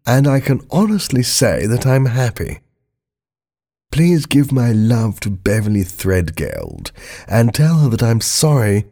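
A man voicing an affectionate grown prince reading out a gentle, formal letter to his mother in a story. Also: mid-range voice, exaggerated voice.

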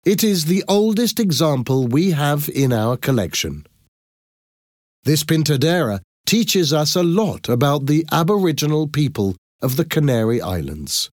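Man playing a proud, higher-pitched museum expert. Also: a little mellow, no echo, clean studio sound. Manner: emphatic, formal presenting. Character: proud, pleased male museum archaeologist addressing people.

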